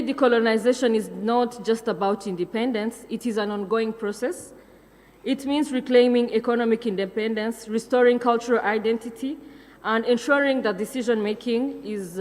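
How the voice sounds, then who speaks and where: A woman's voice: fairly high pitch, a little mellow, in a large hall. A young woman from Rwanda gives a prepared conference speech, reading with fillers and self-corrections. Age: young adult. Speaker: conference speaker.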